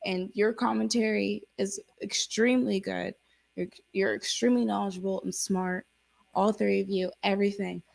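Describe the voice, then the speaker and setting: A woman talking in a loose, casual way, with a gravelly, fry-heavy edge to her voice. Her sentences run on, full of "like" and restarts, and her pitch sits in a middle range. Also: steady tone, moderate pace. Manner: rambling, lots of fillers and restarts. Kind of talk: casual chat.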